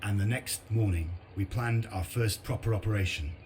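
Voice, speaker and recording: Man playing a low-voiced, grim resistance commander. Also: moderate pace, a little mellow, a little hiss or hum, natural voice. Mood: reflective. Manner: blunt, dry, determined. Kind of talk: video game cutscene narration and briefing.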